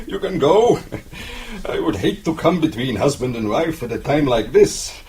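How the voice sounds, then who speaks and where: An actor playing a sly, mock-polite police captain in a radio drama. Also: male voice, a little high, big pitch swings, measured pace, darkish tone. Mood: mock-genial. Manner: chuckling, mock-polite, probing. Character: jovial, sly Austrian police captain, male.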